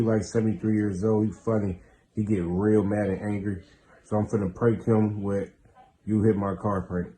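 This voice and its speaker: Casual American male prank host, lower-pitched, slangy and easygoing in delivery. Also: dark tone, rough recording. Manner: slangy, loose, informal. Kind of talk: casual vlog chat and reactions.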